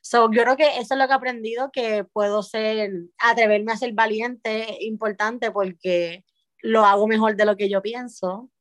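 A woman from Puerto Rico with a Puerto Rican Spanish accent, a guest on a podcast, talking in a reflective way with pauses and restarts. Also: fairly high pitch, steady tone, no echo. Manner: thoughtful, with frequent pauses and restarts. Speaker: podcast guest, parent coach. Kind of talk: reflective conversational interview.

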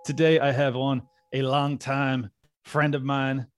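A man's voice: medium pitch, little pitch movement, no room sound, studio quality. Joking podcast host man. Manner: friendly, joking interviewer with quick laughs. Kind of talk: casual interview chat.

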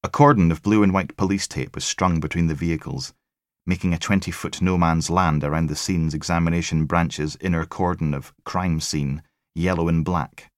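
A man's voice: low voice, fairly even intonation, normal speed, no echo, studio quality. A man reading a crime thriller as scripted audiobook narration.

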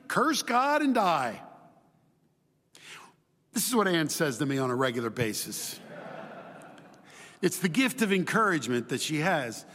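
A man's voice: a little high, big pitch swings, unhurried, long pauses, fairly bright tone. Man preaching in a reverberant hall. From Chile. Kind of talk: sermon with personal stories and scripture readings. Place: large hall, speaking live to a congregation.

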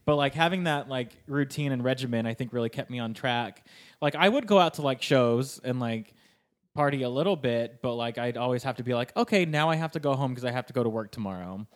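A man's voice: medium pitch, brisk. Rambling young man. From Sacramento, California, US. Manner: rambling storytelling, heavy use of "like". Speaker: guest, improv performer and writer. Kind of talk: casual podcast chat.

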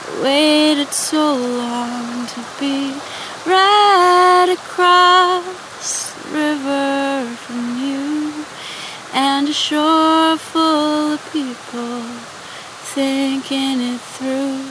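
A woman sings a gentle song in a high voice, drawing the words out very slowly. Clear noise sits over the field recording. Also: steady tone. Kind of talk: singing a slow, gentle song.

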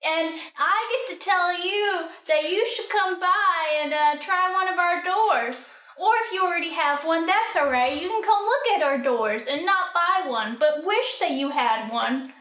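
A woman's voice: very high voice, a little slow, few pauses, fairly bright tone. A woman playing an eager, boastful grown-up boy in a spoof radio commercial. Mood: chirpy, boastful. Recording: echoey room, distant from the mic.

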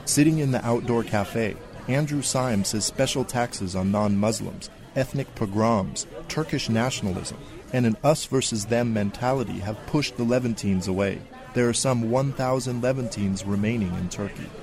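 A male correspondent reads a scripted radio report. His voice sits at a middle pitch with a measured, even reading. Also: music in the background.

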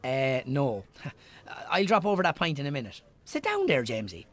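An actor with an Irish accent playing a breezy, persuasive publican and matchmaking friend in a naturalistic radio drama. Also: male voice, mid-range voice, huge pitch swings, normal speed. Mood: breezy, coaxing.

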